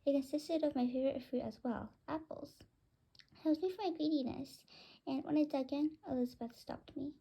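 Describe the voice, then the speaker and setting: A woman's voice: sits high, brisk, vocal fry, dark tone, poor audio. A woman voicing a boastful, greedy pet bird in a children's story read aloud. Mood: smug, greedy. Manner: bragging, indignant first-person storytelling.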